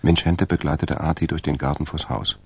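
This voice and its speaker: Crime story narrator, super deep husky voice, dry and detached, radio drama style. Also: male voice, steady tone, brisk, a little mellow, full character voice. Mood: detached, calm. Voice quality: gravelly, husky.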